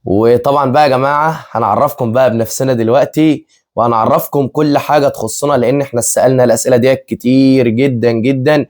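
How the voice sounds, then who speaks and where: A man's voice: mid-range voice, steady tone, fast, darkish tone. A man in his twenties with an Egyptian Arabic accent, chatting casually with viewers as a family vlog host, repeating himself and laughing often. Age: 20s. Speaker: vlogger, channel co-host.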